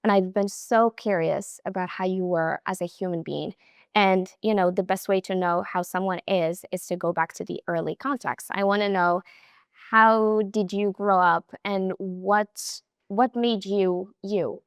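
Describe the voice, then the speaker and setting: A young woman hosting a sports interview, asking warm, admiring questions in a lively way. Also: medium pitch, measured pace, smooth, dry recording, clean studio sound. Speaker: interview host.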